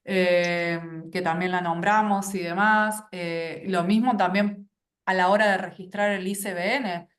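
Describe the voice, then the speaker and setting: A woman's voice: on the low side, steady tone, a little slow. A woman publisher explaining in a matter-of-fact way during a conversational interview, listing steps one by one. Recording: roomy echo.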